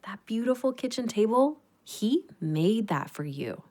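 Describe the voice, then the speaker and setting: A woman playing a tender, grieving younger sister in an intimate audio drama, speaking in a gentle, wistful way. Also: a little high, very animated, unhurried. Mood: gentle, coaxing.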